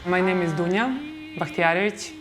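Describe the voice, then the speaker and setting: A woman from Bosnia speaking. She is a singer in a band, giving a slow, thoughtful documentary interview with some fillers. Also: fairly low pitch, fairly even intonation, over music.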